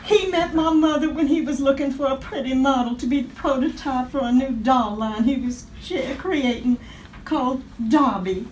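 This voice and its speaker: A woman's voice: sits high, moderate pace, darkish tone, natural voice. An actress playing an indignant, chatty, melodramatic young woman in a comic radio play, with emphatic theatrical delivery. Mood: proud, explaining. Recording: echoey rehearsal with background noise.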